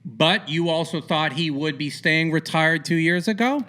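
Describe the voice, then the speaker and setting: A man's voice: a little high, moderate pace, bright, forceful tone. A man hosting a sports podcast, asking rambling, opinionated questions in an informal interview style. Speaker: podcast host interviewing a guest.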